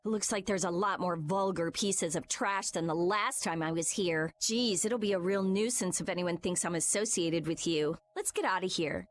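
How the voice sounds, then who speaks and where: An actress playing a haughty, sharp-tongued young woman in an anime video game dub. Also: on the low side, normal speed, hardly any pauses, close to an everyday voice. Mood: scornful. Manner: disdainful, cutting. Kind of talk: English-dubbed anime video game acting.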